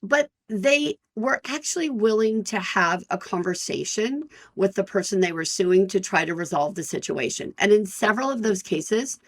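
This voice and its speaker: A woman in her fifties, an employment lawyer hosting her own podcast, talking frankly and directly to listeners in a loud, energetic voice. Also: mid-range voice, moderate pace, hardly any pauses. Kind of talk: solo podcast talk.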